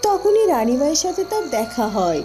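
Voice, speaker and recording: A woman's voice: high-pitched, big pitch swings, a little fast. A woman narrating a scripted cartoon story. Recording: music behind the voice.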